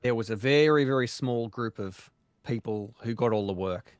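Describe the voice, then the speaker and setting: A man, a voice actor, talking in a casual interview, measured and hesitant with many pauses and restarts. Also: mid-range voice, clear, resonant tone, dry recording, studio quality. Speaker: voice actor guest.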